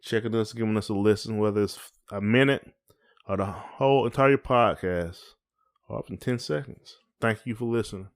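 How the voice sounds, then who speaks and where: A man's voice: on the low side, moderate pace. A man co-hosting a casual podcast, talking in a folksy, rambling way and repeating his phrases. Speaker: podcast co-host. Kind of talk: casual conversational podcast chat.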